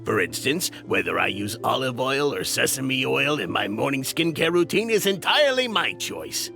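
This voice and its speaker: Fussy vain townsman in a video game, chatty, bit of gravel, music behind him. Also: mid-range voice, sing-song, moderate pace. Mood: chatty, self-assured.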